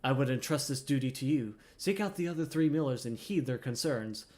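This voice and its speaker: A man's voice: mid-range voice, a little fast, darkish tone, close to an everyday voice. A man voicing a solemn, dutiful miller giving orders in formal, theatrical fantasy game dialogue. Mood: businesslike.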